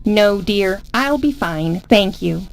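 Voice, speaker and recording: An actress playing a kindly, gentle older woman in an adventure game, with music behind her. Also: mid-range voice, expressive, takes it slowly, gravelly. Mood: grateful. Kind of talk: scripted adventure game acting. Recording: music under the voice.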